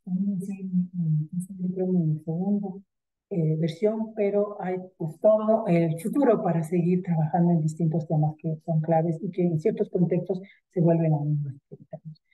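A middle-aged woman giving closing remarks on a video call, measured and hesitant, with many fillers. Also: on the low side, steady tone, phone-quality sound. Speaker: institutional representative. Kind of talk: closing remarks at an online event. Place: indoors with virtual background.

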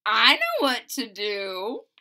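Storybook best-friend boy, played by a woman: eager, excitable, bright, very animated. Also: a little high, slow, heavily acted. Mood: excited.

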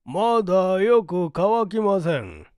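Guy doing a polite obedient lab assistant in an audiobook, real slow and clear, highish. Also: big pitch swings, a little mellow, no echo, studio quality, exaggerated voice. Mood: deferential. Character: dutiful male laboratory assistant, deferential and tidy.

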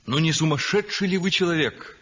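An actor playing an excitable male acquaintance who reacts with theatrical dismay in a 1960s radio drama, with a husky voice. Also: a little high, brisk, in a large hall. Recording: dull old radio recording, set back from the mic.

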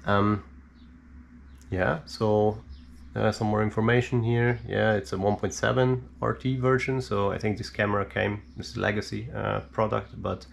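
A man speaks in a low register with a creaky, gravelly edge. He explains equipment as a hobbyist presenter, unscripted and halting, pausing often to think. There is audible noise behind his voice. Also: flat delivery. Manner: hesitant, halting, thinking aloud with many pauses. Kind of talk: unscripted hands-on technical explanation. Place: indoor room at a cluttered worktable.

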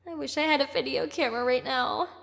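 A woman's voice: high-pitched, quick pace, gravelly, fairly bright tone, full character voice. A woman playing a sensitive, shaken teenage girl in an audio drama. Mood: wistful.

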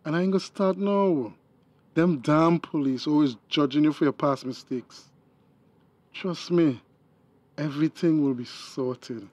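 An actor playing a smooth-talking, scheming boyfriend in a radio drama, coaxing and reassuring, with a Tobagonian accent. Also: male voice, a little high, measured pace, darkish tone, dry recording. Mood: coaxing, pleading.

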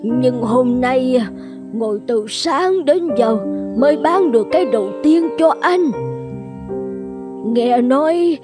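A woman voicing a kindly, humble old village fan seller in a folk-tale cartoon, gentle and plaintive. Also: high-pitched, animated, takes it slowly. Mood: rueful. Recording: music behind the voice.

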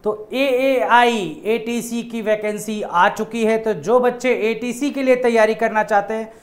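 Man explaining an exam notice, high voice. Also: fairly even intonation, unhurried. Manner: casual explanatory, repeats key points with "theek hai". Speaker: exam coaching teacher. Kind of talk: explaining a job notice to students. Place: indoor studio in front of a projected screen.

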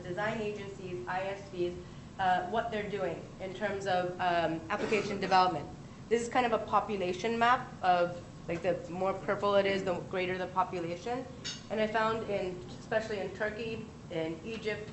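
An American woman in her thirties leading a live tech tutorial, chatty with quick asides and self-corrections. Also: mid-range voice. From United States. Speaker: tech workshop presenter. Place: large conference room. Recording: echoey conference room with background noise.

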